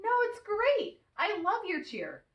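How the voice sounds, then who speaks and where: Woman playing high-voiced, kindly, patient puppet teacher. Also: huge pitch swings, takes it slowly, echoey room, recorded from a distance, muffled recording, exaggerated voice. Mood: encouraging. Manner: gently correcting, encouraging. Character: kindly, patient puppet explaining Easter to a donkey. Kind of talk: children's puppet show dialogue.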